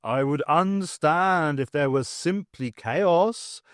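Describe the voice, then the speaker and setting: Reader doing a big jolly alien overlord, posh english, fruity, slow, dry sound. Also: male voice, a little high, a bit bright, dry recording. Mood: jovial. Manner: fruity, jovial, pompous. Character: large jovial alien warlord, fruity and pompous.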